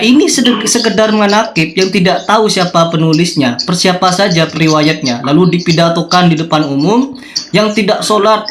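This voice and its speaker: A man argues religion in a somewhat high voice. He is confrontational and keeps pressing his opponents with questions. There is light noise on the live recording. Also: fairly bright tone. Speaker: debater challenging opponents. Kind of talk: heated religious debate.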